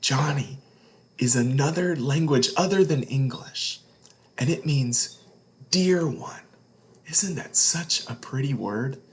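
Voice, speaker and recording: A man reading a picture book aloud to children as a read-along host, with deliberate, emphatic phrasing. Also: mid-range voice. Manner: deliberate phrasing, emphatic, explains words to young listeners.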